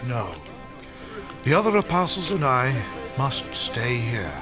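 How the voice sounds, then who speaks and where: A calm apostle leading his flock in a radio play. His medium-pitched voice is solemn and measured, like preaching, with music behind it. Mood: firm. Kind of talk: dramatized radio play acting.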